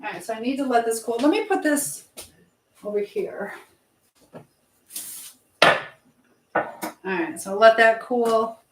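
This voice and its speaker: Lady w a highish voice rambling casually on a livestream from her kitchen, pretty echoey. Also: expressive, slow pace, long pauses. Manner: informal, rambling, reading out and answering comments. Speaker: livestream host chatting with viewers. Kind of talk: casual live chat while baking. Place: home kitchen. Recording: echoey room with clear background noise.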